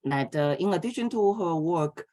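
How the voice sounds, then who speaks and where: A middle-aged woman from Taiwan, giving an academic philosophy lecture in a hesitant way, with many pauses and filler sounds. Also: fairly low pitch, expressive, warm tone.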